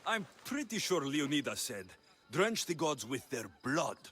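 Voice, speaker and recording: An actor playing a confident, wry young Greek mercenary hero in a video game, speaking in a direct, assured manner. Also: male voice, on the high side, very animated, unhurried, fairly bright tone, breathy edge, heavily acted. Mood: bemused, assured. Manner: direct, assured, dryly teasing. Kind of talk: video game cutscene acting.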